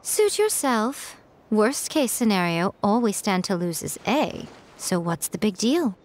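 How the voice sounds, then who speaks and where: A woman's voice: mid-range voice, sing-song, unhurried, a little hiss or hum. An actress playing a crafty, teasing fox-spirit woman in a video game, poised, arch and playfully condescending. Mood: dismissive.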